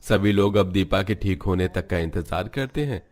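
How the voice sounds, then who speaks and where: Narrator for animated family drama: male, deep register, scripted storytelling delivery. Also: normal speed.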